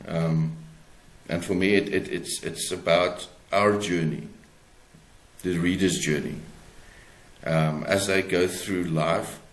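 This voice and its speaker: A South African man speaking as an interviewed author, explaining his work haltingly and searching for words. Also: deep voice, fairly even intonation. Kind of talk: conversational interview, explaining own book. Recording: roomy, echoey sound.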